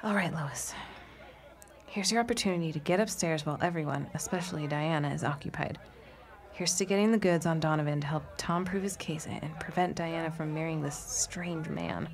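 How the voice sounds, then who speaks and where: A woman's voice: low voice, brisk. A woman playing a loyal, droll 1910s friend and nervous amateur snoop in an audio drama, dry and understated. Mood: nervously plotting. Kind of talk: period audio drama acting. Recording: busy party chatter behind.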